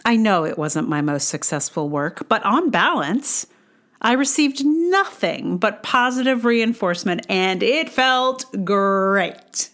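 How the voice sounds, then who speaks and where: Slow-paced woman reading a personal essay. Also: medium pitch, lively intonation, a bit bright. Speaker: podcast host and writer. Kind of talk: reading a personal essay aloud, with casual asides.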